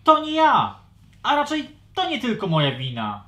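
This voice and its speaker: A man's voice: very high voice, huge pitch swings, moderate pace, sharp tone, heavily acted. A male performer playing a plaintive, defensive young dragon in a children's audio fairy tale.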